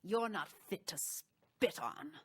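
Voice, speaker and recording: A woman's voice: medium pitch, sing-song, takes it slowly, fairly bright tone, husky, heavily acted. A woman voicing a proud, solemn noblewoman in a fantasy video game. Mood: haughty. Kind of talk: video game voice-over session.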